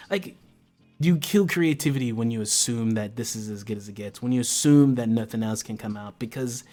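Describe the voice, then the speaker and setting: Slangy American man streaming over faint music. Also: mid-range voice. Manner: impassioned, slangy, swearing freely. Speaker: livestream host. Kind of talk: casual livestream chat.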